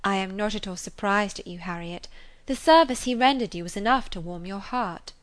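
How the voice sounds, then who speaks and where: A woman voicing a composed, judicious, gently superior young lady in a storybook, speaking in a measured, admonishing manner. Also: mid-range voice, close to an everyday voice. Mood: measured, advising. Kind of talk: dramatised audiobook character reading.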